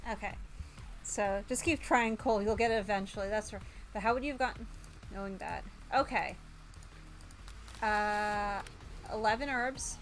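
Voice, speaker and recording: A woman's voice: medium pitch, moderate pace, pauses often, soft background music. A woman doing live game stream commentary, reading on-screen text aloud and breaking into exasperated exclamations. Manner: exclaims loudly in exasperation, mutters while reading. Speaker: VTuber streamer.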